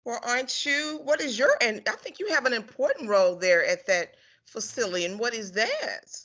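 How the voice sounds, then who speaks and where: A woman's voice: fairly high pitch, animated, moderate pace, a bit bright. A woman working as a care ombudsman, asking interview questions in a friendly, casual way. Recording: dull lo-fi recording.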